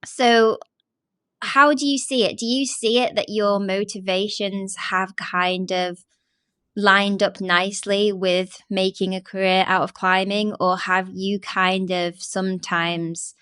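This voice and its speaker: A woman's voice: medium pitch, steady tone, slow, bright, forceful tone, smooth, no echo, clean studio sound. A young woman hosting a casual podcast interview, asking long, rambling questions full of fillers. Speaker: podcast host.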